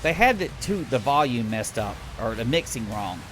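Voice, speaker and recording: A man's voice: mid-range voice, sing-song, moderate pace, fairly bright tone, a little hiss or hum, rough recording. Tired, rambling man reviewing a game. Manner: rambling, profane, many restarts and fillers. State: tired, late at night. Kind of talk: casual spoken game review.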